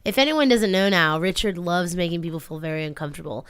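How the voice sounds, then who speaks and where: A woman's voice: on the low side, quick pace, smooth. A woman co-hosting a radio show, chatting and bantering casually. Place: radio studio.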